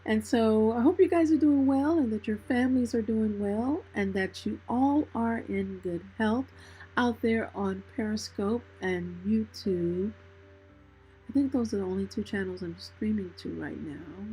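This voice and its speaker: Female livestream host for scripture reading, mid-pitched and relaxed, taking her time. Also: unhurried, rough recording. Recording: music playing behind the voice. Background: background music.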